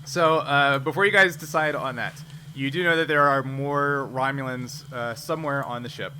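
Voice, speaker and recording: A man's voice: mid-range voice, steady tone, moderate pace, pushed and bright. A man acting as game master, casually explaining rulings to players and laughing easily. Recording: clearly noisy background.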